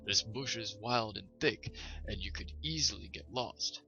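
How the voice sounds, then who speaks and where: Man playing a gravelly, henpecked storybook trapper. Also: fairly low pitch, unhurried, bright, forceful tone, lo-fi sound, full character voice. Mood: stern warning. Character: hard-working, long-suffering, henpecked male trapper. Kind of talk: storybook character voice. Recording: music behind the voice.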